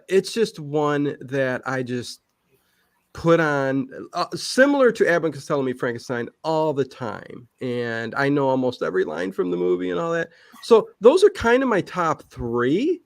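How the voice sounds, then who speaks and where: A man chatting casually as an interview guest, rambling and halting, often backtracking and repeating himself, with emphatic interjections. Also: a little high, no room sound, clean studio sound. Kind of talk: casual chat.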